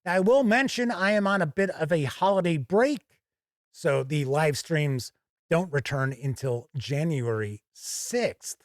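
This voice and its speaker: A man hosting a conversational video review, speaking in a measured, frank way with emphatic phrasing. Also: a little high, animated, dry recording, studio quality. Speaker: host of a tabletop game review channel.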